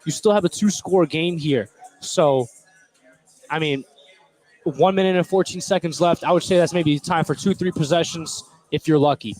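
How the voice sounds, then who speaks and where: Male color analyst, a bit high in pitch, informal and conversational courtside basketball commentary. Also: moderate pace, a little mellow, soft background music, poor audio. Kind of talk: casual live basketball analysis. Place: courtside in a school gym.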